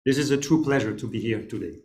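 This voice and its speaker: A man opens a formal address at a regional meeting. His voice is mid-pitched and plain. He works through the dignitaries' titles in a steady, ceremonial way. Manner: measured, listing dignitaries formally. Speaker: development officer addressing a council. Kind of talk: formal speech with protocol greetings.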